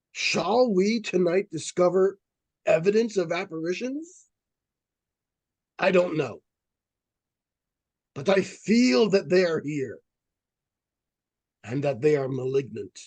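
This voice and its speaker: An actor playing an earnest psychic investigator in old-time radio suspense style, speaking gravely and formally with theatrical weight. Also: male voice, high-pitched, expressive, slow, pauses often, a little mellow, no echo, heavily acted. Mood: solemn, foreboding. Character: earnest, portentous male psychic researcher and ghost hunter.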